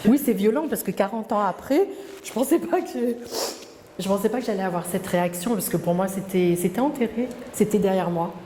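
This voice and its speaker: A middle-aged woman, speaking hesitantly and reflectively as an interviewee, with many pauses and restarts. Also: medium pitch, warm tone, a little hiss or hum. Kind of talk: personal testimony interview. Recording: echoey room, long reverb, faint ambience.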